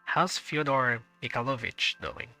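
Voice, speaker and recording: A man's voice: mid-range voice, measured pace, sharp tone, soft background music. A man voicing a moody, defensive teenage schoolboy in a visual novel, with plain, wry replies.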